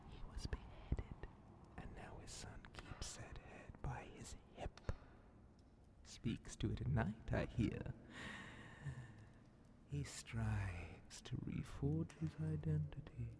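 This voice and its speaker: A man voicing a scheming demon Grand Vizier in a whispered ASMR fantasy roleplay, sly, menacing and condescending, with breathy, theatrical, drawn-out phrasing. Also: lively intonation. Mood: sly storytelling, then scornful scheming. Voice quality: breathy whispering mixed with low gravelly creak.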